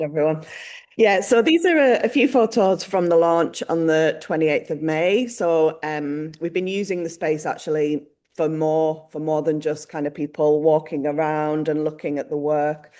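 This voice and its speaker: A woman's voice: deep voice, moderate pace, darkish tone. Chatty woman presenting event news. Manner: chatty, self-deprecating, playful. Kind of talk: informal live event update.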